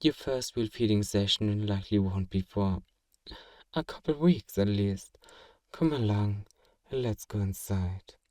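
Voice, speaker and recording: A man playing a kind, possessive vampire master in an intimate ASMR roleplay, speaking in a gentle, genteel, coaxing and doting way. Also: fairly low pitch, a little slow, no echo, clean studio sound, natural voice. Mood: warm, reassuring. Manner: soothing, coaxing, politely doting.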